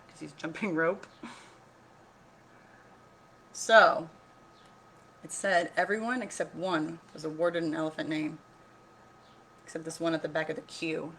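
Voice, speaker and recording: Lady doing a kids read-aloud, lowish bright voice, explains and asks stuff, bit of background noise. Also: vocal fry, low-quality recording. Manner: expressive, instructive, asks questions. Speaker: teacher educator reading a picture book aloud. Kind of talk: read-aloud lesson for children with questions to listeners.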